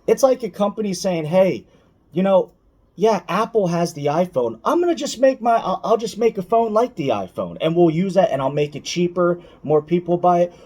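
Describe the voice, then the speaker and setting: A man's voice: high voice, animated, moderate pace, darkish tone, slightly rough. A man giving casual, opinionated finance commentary for online viewers, speaking emphatically, repeating key points and addressing the listener directly.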